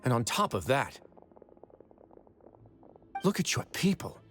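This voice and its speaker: An actor playing a stern, righteous prison fortress warden in a video game, speaking in a measured, authoritative way. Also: male voice, medium pitch, very animated, a bit bright. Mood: cool reproach. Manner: measured, deliberate, coolly authoritative. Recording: game audio with music behind.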